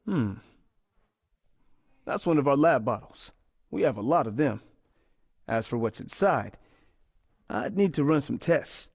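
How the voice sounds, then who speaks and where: Polite nerdy-earnest game scientist, medium pitch, hesitates while explaining, recorded dry. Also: male voice, lively intonation, measured pace, long pauses, darkish tone, dry recording. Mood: polite, guarded. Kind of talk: video game dialogue acting.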